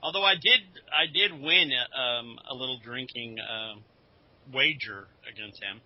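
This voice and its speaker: A man's voice: medium pitch, measured pace. A man on a podcast panel, chatting casually and telling anecdotes. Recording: slightly dull lo-fi audio.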